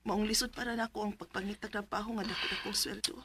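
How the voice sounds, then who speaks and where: A woman's voice: mid-range voice, fairly even intonation, quick pace, bright, forceful tone, slight background noise. A woman with a Cebuano Filipino accent playing a weary but determined widowed mother in a radio drama, plaintive and emotional. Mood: worried. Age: 30s.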